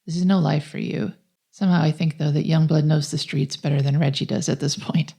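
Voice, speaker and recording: A woman's voice: sits low, steady tone, a little fast, gravelly, mellow. A woman co-hosting a podcast, recapping a film's story in a conversational way. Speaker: podcast co-host. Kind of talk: conversational film plot recap.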